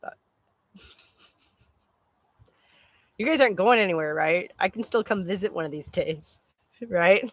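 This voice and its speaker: A woman chatting casually as host of a live stream, rambling in an animated, exclaiming way with casual swearing. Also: on the low side, unhurried, long pauses, dry recording.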